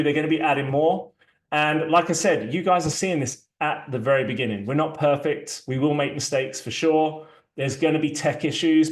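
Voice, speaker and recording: A man hosting a community launch, talking casually through a shared screen, loose and chatty with many fillers and restarts. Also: fairly high pitch. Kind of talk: casual live walkthrough, screen sharing. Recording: echoey room sound.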